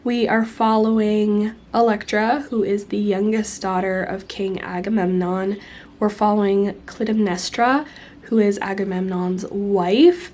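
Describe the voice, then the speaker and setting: A woman's voice: medium pitch, darkish tone. A young woman in her twenties, still congested from being sick, chatting casually about a book she's reading, recorded close on a phone. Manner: chatty, explains at length. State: congested, recovering from illness. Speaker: book vlogger. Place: indoors, lying against pillows. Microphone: phone camera held close.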